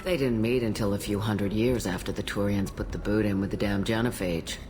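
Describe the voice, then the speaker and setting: An actress playing a blunt, world-weary old alien matriarch bartender in a video game, with dry, sardonic delivery. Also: female voice, a bass voice, steady tone, a little fast. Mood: matter-of-fact. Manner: dry, sardonic, matter-of-fact. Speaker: bartender character. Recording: loud music behind the voice.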